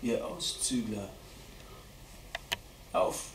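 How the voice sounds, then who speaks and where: A man giving a live dramatic poetry reading at a literary event, declaiming deliberately with strong shifts between hushed and loud delivery. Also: on the low side. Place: indoor literary event venue at a theatre. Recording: roomy live hall recording, distant mic, faint noise.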